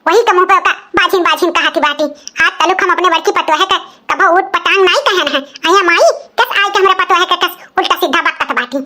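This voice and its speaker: Man as a grumbling Awadhi mother-in-law, high-voiced. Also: fast talker, pushed and bright, full character voice. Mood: indignant, grumbling. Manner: grumbling, scornful. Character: indignant old village mother-in-law defending her favourite daughter-in-law. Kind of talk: comic cartoon dialogue.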